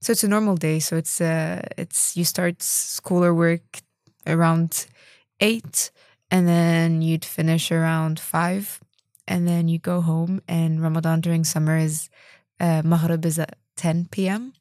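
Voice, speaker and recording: A young woman from France talks in a fairly low, flat voice. She explains things casually and often restarts a sentence partway through. The recording is dry. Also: unhurried, dry recording. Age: young adult. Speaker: student guest. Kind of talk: casual discussion.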